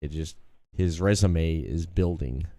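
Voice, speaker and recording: A man in his late thirties with a deep, low voice, hosting in a relaxed, chatty way. He rambles and circles back on his own phrases, and the sound is close and dry. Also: measured pace, no echo. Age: 30s. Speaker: podcast host. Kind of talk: casual chat.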